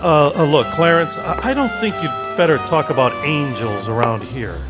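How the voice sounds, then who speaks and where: Wisecracking despairing husband, played by a man, fairly high pitch, radio play. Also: animated, over music, lightly acted. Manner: fast-talking, wry. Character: desperate, wisecracking everyman husband. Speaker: radio actor. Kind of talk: 1940s radio acting.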